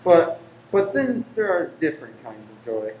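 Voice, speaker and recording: A man's voice: on the high side, sing-song, normal speed, a little mellow. Man acting stern, preachy lodger in echoey room. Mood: pious. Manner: formal, archaic, preachy phrasing. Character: stern, pious, secretive male lodger preaching against pleasure. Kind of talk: radio play acting. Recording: echoey room with faint noise.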